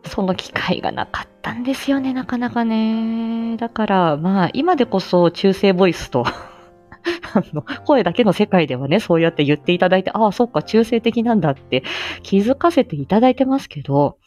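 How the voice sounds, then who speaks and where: A woman's voice: mid-range voice, lively intonation, moderate pace, a little mellow, soft background music. A woman chatting casually and reflectively on a livestream, self-effacing and hesitant, often trailing off mid-phrase. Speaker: amateur voice drama actor and livestream host.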